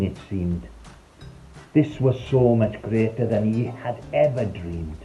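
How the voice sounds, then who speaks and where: A man's voice: medium pitch, mellow, dull audio. A man reading a scripted, rhyming children's story in a playful, theatrical storytelling manner. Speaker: storyteller, narrator. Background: background music.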